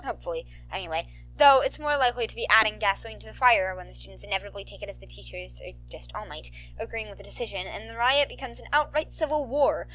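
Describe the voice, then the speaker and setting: A woman, an amateur narrator, reading fan fiction aloud in an expressive, informal style. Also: medium pitch, quick pace, soft background music, low-quality recording. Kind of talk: animated fan fiction narration, casual asides.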